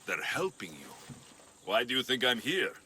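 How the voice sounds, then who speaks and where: An actor playing a self-assured, defiant ancient Greek mercenary in a video game drama, speaking in a firm, wry, challenging way. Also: male voice, medium pitch, sing-song, moderate pace, a little hiss or hum. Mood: calm, wry. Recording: loud music underneath.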